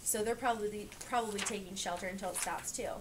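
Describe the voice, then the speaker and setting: A woman reading a picture book aloud to young children, pausing to explain and point things out. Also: mid-range voice, fairly even intonation, noisy background. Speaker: reader teaching young children.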